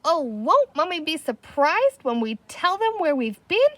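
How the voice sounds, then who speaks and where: A boisterous little girl played by a woman storyteller bursts out in a very high, swooping voice. She exclaims breathlessly, like an eager young adventurer in a children's storybook. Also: big pitch swings, unhurried, fairly bright tone, no echo, exaggerated voice. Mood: excited, surprised.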